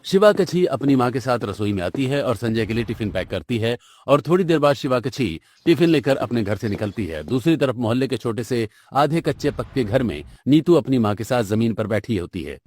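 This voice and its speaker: Mid-pitched male story narrator. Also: lively intonation, brisk, few pauses, a little mellow. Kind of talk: scripted story narration for a Hindi cartoon.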